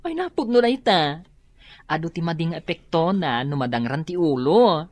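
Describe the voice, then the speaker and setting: A woman's voice: deep voice, very animated, moderate pace, darkish tone, no echo. A Filipino woman acting an anxious, kindly household mother with a soothing, explaining manner in a radio drama. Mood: soothing, concerned.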